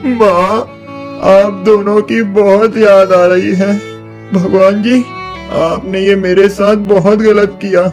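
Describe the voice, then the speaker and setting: A man's voice: sits high, gravelly, a little mellow, full character voice. A man voicing a poor, earnest, plaintive young orphan boy in a moral-story cartoon.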